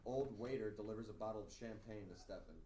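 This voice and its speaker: A man's voice: on the low side, quick pace, mellow. A man narrating scene directions at a screenplay table read. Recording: echoey room, distant, faint noise.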